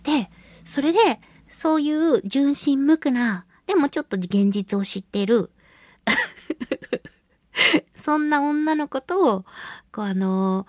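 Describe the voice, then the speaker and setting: A woman's voice: high voice, lively intonation, slow pace, pauses often, no echo, studio quality. A woman hosting a casual solo radio show, chatting expressively and giggly as she reads and responds to listener mail. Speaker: radio show host, voice actress.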